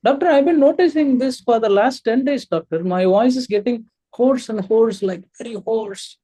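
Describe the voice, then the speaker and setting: A man's voice: extremely high pitch, moderate pace, mellow, breathy edge, pitched above the performer's own voice. A man role-playing a worried 43-year-old female patient who answers a doctor deferentially in a clinical exercise. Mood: anxious, earnest. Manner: repeatedly addresses the listener as doctor.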